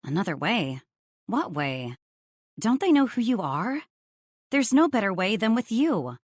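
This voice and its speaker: A woman's voice: medium pitch, sing-song, unhurried, no echo, clean studio sound, pitched above the performer's own voice. Woman as a coy, smitten romance heroine. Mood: indignant surprise.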